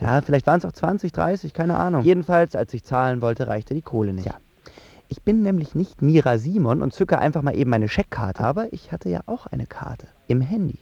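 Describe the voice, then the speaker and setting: Radio drama lead: sulky lovesick young man, wry confessional slang, mellow tone with vocal fry. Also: medium pitch, animated, a little fast, no echo, muffled recording. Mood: wry, worked up. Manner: slangy, wry, self-pitying.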